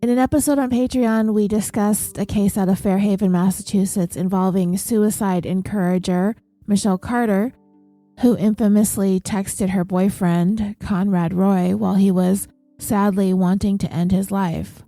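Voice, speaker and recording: A woman narrates her true crime podcast in a steady, even read. Her voice is a little nasal and stuffed up from congestion, with a mellow, warm tone. The recording is close and dry, with no room sound. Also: medium pitch, normal speed, no echo, faint music behind. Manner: reads ads and listener names in an even, steady delivery.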